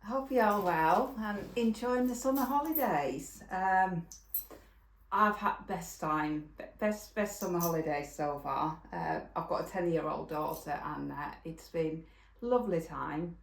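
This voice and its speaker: A woman's voice: fairly low pitch, measured pace, gravelly, reverberant. A woman in her forties with a Finnish accent, hosting a casual knitting podcast and chatting with lots of fillers and restarts. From Finland. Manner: rambling, with frequent fillers and restarts. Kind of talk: casual chat.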